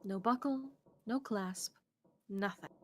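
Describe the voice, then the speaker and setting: A woman's voice: mid-range voice, takes it slowly. A woman streamer reading a visual novel's narration aloud, with casual asides. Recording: faint background noise.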